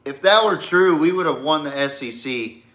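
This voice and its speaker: A man's voice: a little high, expressive, moderate pace, fairly bright tone, highs cut off. A man hosting a sports podcast, delivering a blunt, confrontational rant in slangy, informal speech. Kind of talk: combative sports rant addressed to a rival. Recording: echoey room.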